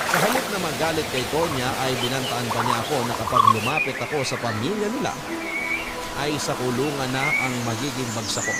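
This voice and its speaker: Actor as a regretful man narrating, loud music. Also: mid-range voice, close to an everyday voice. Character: regretful, resigned man narrating his lost love. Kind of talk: first-person dramatized letter narration.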